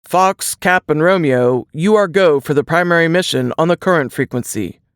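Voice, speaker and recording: A narrator voicing a brisk, businesslike mission-control dispatcher with crisp military radio phrasing in a suspense audiobook. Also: medium pitch, dry recording, clean studio sound, close to an everyday voice.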